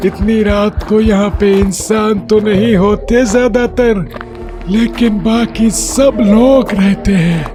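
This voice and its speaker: An actor playing the eerie, taunting headless ghost of a village uncle in a cartoon horror story, with drawn-out menacing delivery. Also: male voice, high voice, steady tone. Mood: ominous, sly. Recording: loud music behind the voice. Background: loud music.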